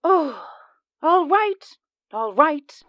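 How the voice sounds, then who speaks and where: An actress in a 1940s radio drama playing a frightened young woman who talks in a tearful, high-strung way. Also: big pitch swings, drawn-out words, muffled recording, full character voice. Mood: tense.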